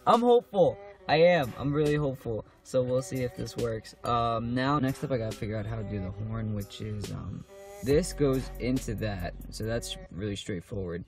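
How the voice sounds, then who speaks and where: A young man with a slightly raspy, hoarse voice chats casually with lots of ums while showing a car install in a how-to vlog. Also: mid-range voice, expressive, moderate pace, soft background music. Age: young adult. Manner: chatty and informal, with frequent ums. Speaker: car vlogger. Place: outdoors on a porch, then inside a parked car.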